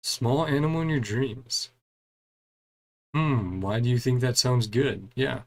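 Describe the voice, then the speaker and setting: A man's voice: mid-range voice, slow. A man streaming a game in a casual, chatty way, reading dialogue aloud and murmuring short reactions between the lines. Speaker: gaming streamer playing through a story quest.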